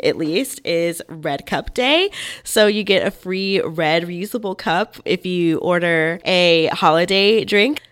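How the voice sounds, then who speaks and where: A woman hosting a podcast in a chatty, informal, expressive way, sounding a little nasal and congested from a cold. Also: fairly low pitch, clean tone. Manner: informal and expressive, often checks in with "right?". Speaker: podcast host and life coach. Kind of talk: chatty solo podcast talk.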